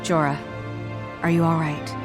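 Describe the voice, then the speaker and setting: An actress playing a kind, earnest human heroine in a video game, speaking in a caring way. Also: female voice, deep voice, flat delivery, measured pace. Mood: concerned. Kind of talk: video game cutscene acting. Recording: music behind the voice.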